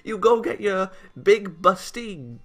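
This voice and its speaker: A man hosting a game playthrough, reading a visual novel aloud and breaking into animated, exasperated commentary. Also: sits high, takes it slowly. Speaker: let's play host.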